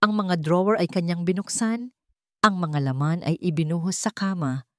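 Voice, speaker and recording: A woman narrating a dramatic story in a calm, measured storybook style. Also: fairly low pitch, dry recording, clean studio sound.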